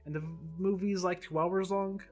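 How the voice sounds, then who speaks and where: A young man from Portugal whose native language is Portuguese, casually showing his manga shelves in a relaxed, rambling way with frequent ums and restarts. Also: sits high, fairly quick, faint music behind. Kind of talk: casual collection tour vlog.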